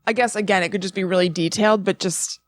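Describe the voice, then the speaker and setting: A woman's voice: medium pitch, steady tone, fast, dry recording, studio quality. A woman co-hosting a podcast in a casual, chatty and emphatic conversational way. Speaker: podcast co-host. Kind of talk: casual chat about spooky legends.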